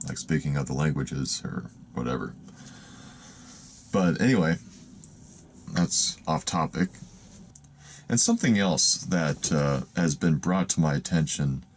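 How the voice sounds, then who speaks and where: A raspy-voiced man from the United States talks casually to camera in a rambling, hesitant way, with many restarts. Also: low voice, a little mellow. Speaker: van life vlogger. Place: in a van.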